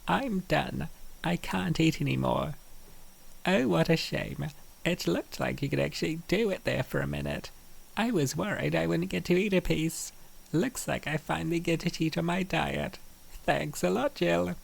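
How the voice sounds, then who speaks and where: Casting: man as teasing college-age female roommates, raised pitch, heavy vocal fry, comic dub banter. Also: moderate pace, heavily acted. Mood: smug. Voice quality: raspy, creaky.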